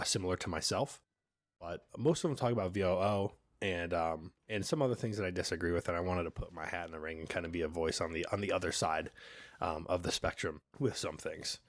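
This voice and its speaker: A man with a cold and a nasal voice, hosting a finance channel in a relaxed, chatty way with many fillers. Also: deep voice, dry recording. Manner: relaxed, filler-heavy, conversational. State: congested with a cold. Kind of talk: casual talking-head explainer.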